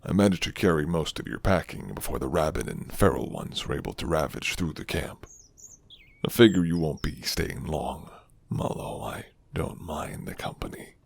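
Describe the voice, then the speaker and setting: A man playing a flirty, gentle, rugged werewolf who lives alone in the forest, speaking slowly and hushed in a deep, gravelly ASMR roleplay voice. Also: animated, a little hiss or hum, heavily acted. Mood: soft, amused. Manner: slow, hushed, teasing.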